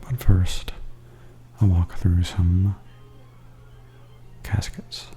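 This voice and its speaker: A man giving softly spoken ASMR tour commentary in a breathy half-whisper, calmly and gently describing things as a host. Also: low-pitched, faint music behind. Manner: calm, unhurried, gently descriptive.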